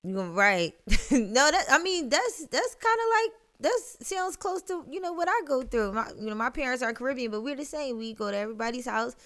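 A young woman, a DJ hosting an interview show, talking in a lively, chatty way on a studio mic. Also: sits high, a little fast. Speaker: DJ and show host. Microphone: studio mic with headphones.

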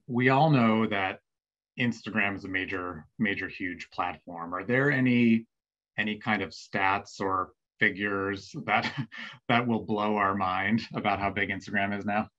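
A man in his forties hosting a guest over a video call, friendly and a little halting, with restarts. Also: fairly low pitch, steady tone, sharp tone. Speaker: host, marketing executive. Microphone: webcam video call. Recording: dull lo-fi video call audio.

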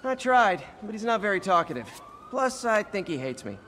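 A wisecracking young superhero. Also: male voice, sits high, sing-song, moderate pace, fairly bright tone, slight background noise. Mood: casual. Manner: joking, teasing banter. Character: wisecracking young male web-slinging hero.

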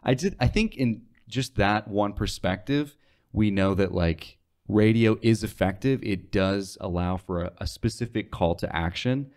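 A man's voice: fairly low pitch, a little slow, darkish tone. A man in his twenties explaining things conversationally as a podcast guest, on a close studio mic. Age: 20s. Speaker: guest, radio sales associate. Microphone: close studio mic on desk, headphones.

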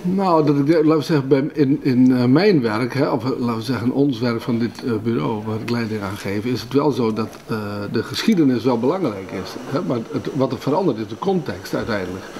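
A man's voice: medium pitch, a little fast, few pauses, darkish tone, slight background noise. A middle-aged man with a Netherlands Dutch accent, answering interview questions as an architect, searching for his words as he goes. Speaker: architect leading a firm. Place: indoor design office.

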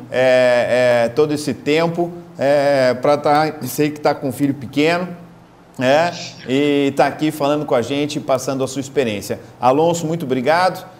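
A man in his thirties with a Brazilian Portuguese accent, giving earnest closing remarks in a studio on a lapel mic, with lively gestures and frequent fillers. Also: on the high side, measured pace. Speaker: guest professor in the studio. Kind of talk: closing remarks on a talk show. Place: studio set.

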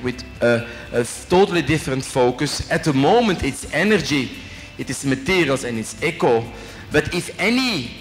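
Man, middle-aged, from Belgium, French accent, chatty joking lecturer, handheld mic, reverberant hall. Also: medium pitch. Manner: chatty, joking, asks the audience questions, adds "eh?" tags. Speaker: company executive giving a guest lecture. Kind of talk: informal business talk to students. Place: large hotel function room with a student audience. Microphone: handheld microphone. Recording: long reverb in a big hall, faint music.

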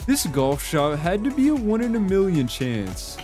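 The high, lively male narrator you would hear over music in an online compilation of short viral clips. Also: normal speed. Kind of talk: scripted reaction narration.

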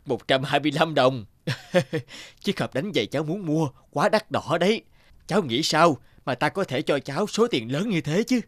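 A man's voice: on the high side, expressive, moderate pace, dry recording, studio quality. An actor playing a big, sceptical cartoon businessman who bargains in a condescending manner. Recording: music behind the voice.

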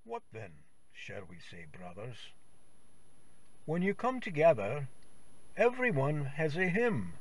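Slow, solemn man reading scripture. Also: a little high, wildly swooping pitch. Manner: deliberate, preacherly phrasing in short broken segments. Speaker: Bible reader on a religious radio program.

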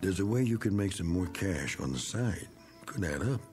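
A gruff, deep-voiced man. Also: expressive, moderate pace, darkish tone, soft background music. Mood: pushy. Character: gruff middle-aged man clearing out his attic. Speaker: actor in a TV drama. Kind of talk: 1980s TV drama acting.

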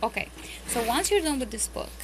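A woman with a strong Spanish accent chats through homeschooling tips at her table, earnest and full of ums and restarts. Her phone's mic picks her up over a steady bed of clear background noise. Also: fairly high pitch, fairly quick. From Spanish-speaking country. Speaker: homeschooling parent. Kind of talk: casual tips vlog. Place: home, at a table, indoors.

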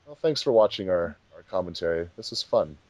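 Low-pitched man, casual and dry-sounding. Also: animated, brisk, darkish tone, dry recording. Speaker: fandub director and commentary host. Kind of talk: casual commentary chat.

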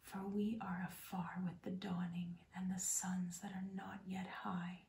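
A woman whispers a rhyming poem softly, in an unvoiced hush, at a slow and unhurried pace. Her tone is dark and mellow, with a gravelly edge to it. The recording sits in a roomy, echoey space. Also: lowish voice, little pitch movement. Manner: soft unvoiced whisper throughout. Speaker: host. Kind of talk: whispered ASMR poetry reading.

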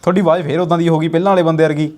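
A man's voice: a little high, flat delivery, very fast, a little mellow. A man bantering casually in a teasing way.

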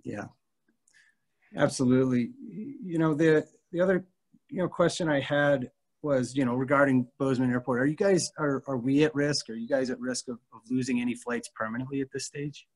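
A man's voice: medium pitch, fairly even intonation, moderate pace. Rambling man hosting a video call. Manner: loose, rambling questions with restarts. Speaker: town hall host. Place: office with whiteboard indoors. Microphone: laptop webcam on video call.

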